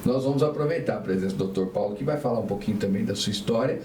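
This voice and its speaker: A man's voice: fairly low pitch, racing, warm tone. A man hosting a casual podcast interview, chatty and leaning on tag questions, asking his guests about themselves. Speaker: podcast host. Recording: echoey room, mic at a distance, faint noise.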